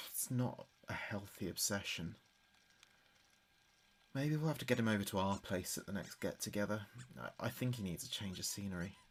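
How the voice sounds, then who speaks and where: A man's voice: lowish voice, natural voice. An actor playing a grieving adult son in an audio drama, speaking hesitantly and confidingly as if taping messages for his dead father. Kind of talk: audio drama monologue as a taped diary.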